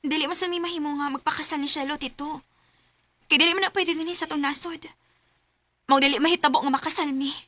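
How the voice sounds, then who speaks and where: A woman's voice: high-pitched, fairly even intonation, fast talker, pushed and bright, dry recording. A woman acting a blunt, headstrong young woman in a radio drama. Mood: firm, defiant.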